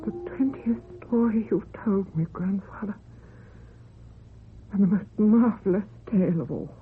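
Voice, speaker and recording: An actress playing a frail, submissive granddaughter in a radio drama, speaking timidly and haltingly. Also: female voice, medium pitch, lightly acted. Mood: awed. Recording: tinny, noisy old radio recording.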